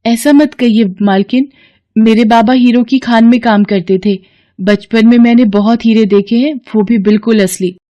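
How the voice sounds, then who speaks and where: A woman's voice: fairly high pitch, steady tone, moderate pace, dark tone, muffled recording, pitched above the performer's own voice, natural voice. A woman voicing a humble, hardworking servant in a story, plaintive and respectful, with wistful longing. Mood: meek, respectful.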